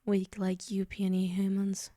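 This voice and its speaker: A woman playing a powerful, possessive female demon in an ASMR roleplay, teasing and condescending in a softly menacing murmur that slips toward whispers. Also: mid-range voice, completely flat delivery, unhurried, dry recording, studio quality, lightly acted. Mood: haughty, condescending.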